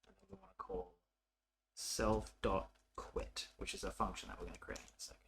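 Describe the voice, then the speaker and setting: A man narrating a coding tutorial casually, thinking aloud in an informal, halting way as he works. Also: on the low side, sing-song, vocal fry, background noise. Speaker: programming tutorial presenter.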